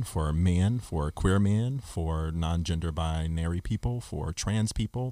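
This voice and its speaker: A man's voice: deep voice, a little mellow, no echo. A man hosting a podcast discussion, talking reflectively in long explanatory runs. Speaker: podcast host. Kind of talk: casual discussion.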